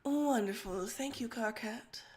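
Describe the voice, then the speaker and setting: A woman's voice: a little high, measured pace, a little mellow, natural voice. A woman voicing a dry, commanding female head archivist who speaks in a curt, knowing way for a story reading. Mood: coolly polite.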